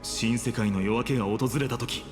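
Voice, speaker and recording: A man's voice: mid-range voice, steady tone, fast talker, in a large hall, loud music behind the voice, close to an everyday voice. A man playing a defiant, embittered young hero in a game, speaking with solemn intensity. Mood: grim resolve. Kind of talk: Japanese anime-style game voice acting.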